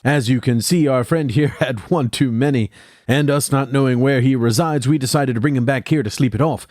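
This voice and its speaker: A man playing an anxious, well-spoken assistant, narrating a horror audio drama in a formal, measured way. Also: mid-range voice, darkish tone, no echo, studio quality, natural voice. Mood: placating.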